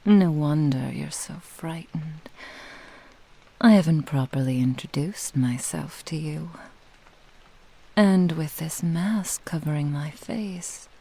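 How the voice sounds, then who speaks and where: A woman voicing a vain, possessive kitsune witch in an intimate ASMR roleplay, speaking in a breathy, lingering whisper. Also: low-pitched, takes it slowly, warm tone, exaggerated voice, rough recording. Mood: courtly, possessive. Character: vain possessive seductive female nine-tailed kitsune witch. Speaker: roleplay audio voice actor.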